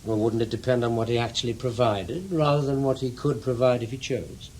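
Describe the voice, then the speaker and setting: An actor playing a blunt, dogged intelligence investigator in a radio drama, probing and insistent in clipped phrases. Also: male voice, mid-range voice, steady tone, brisk, a little mellow, slight background noise, lightly acted. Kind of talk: radio drama acting, dialogue and first-person narration.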